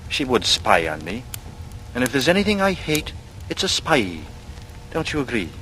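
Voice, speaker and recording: Bright-voiced, cocky young charmer. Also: male voice, mid-range voice, huge pitch swings, moderate pace. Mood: casual, pointed. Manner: ingratiating, needling, insinuating, colloquial. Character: cocky, vain young charmer, sly suspected murderer. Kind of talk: 1940s radio acting. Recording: noisy vintage radio recording.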